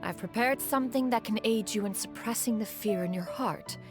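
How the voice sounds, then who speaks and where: An actress playing a proud, self-assured immortal inventor in a video game, speaking in a formal, archaic manner. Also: female voice, medium pitch, fairly quick, music in the background, close to an everyday voice. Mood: reassuring, confident. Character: dignified, proud immortal female adeptus inventor. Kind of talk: video game character acting.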